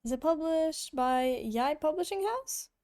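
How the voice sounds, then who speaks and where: A woman's voice: sits high, expressive, measured pace, a little mellow, no room sound, studio quality. A woman game streamer giving casual reactions as she plays.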